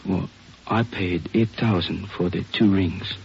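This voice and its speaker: An actor playing a courteous, injured Chinese jade dealer in a vintage radio drama, speaking formally and hesitantly with careful wording. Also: male voice, deep voice, a little slow, creaky, darkish tone, slightly rough, dull audio, heavily acted. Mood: pained, courteous. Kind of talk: 1950s radio drama acting.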